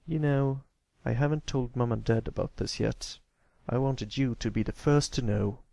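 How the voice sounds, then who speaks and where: A man voicing a warm, easygoing adult stallion, an affectionate and reassuring big brother in a storybook reading. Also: mid-range voice, moderate pace, creaky, no room sound, natural voice.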